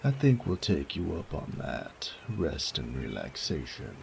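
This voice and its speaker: Man playing a very deep, gravelly sadistic bandit. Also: huge pitch swings, unhurried, darkish tone, a little hiss or hum, heavily acted. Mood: wry, mock-polite. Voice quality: gravelly, creaky low voice. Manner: drawling, taunting, coarse slangy speech. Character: sadistic bandit rogue, menacing, teasing, mocking, flirtatious. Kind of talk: roleplay audio drama acting.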